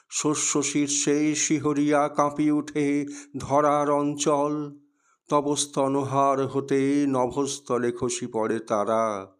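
A man reciting poetry in a dramatic style, with measured, deliberate, declamatory phrasing. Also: medium pitch, smooth.

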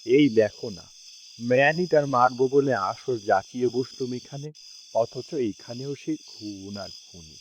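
A man playing an affable colonel, a seasoned hunter and sleuth, in a dramatised audio story, with theatrical, measured, fond delivery. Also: mid-range voice, slight background noise, lightly acted. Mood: wry, resigned.

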